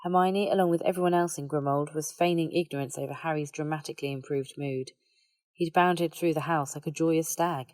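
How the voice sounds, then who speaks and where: Woman, low-pitched, audiobook narrator, scripted storytelling, dry recording. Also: steady tone, a little fast, a little mellow, no room sound.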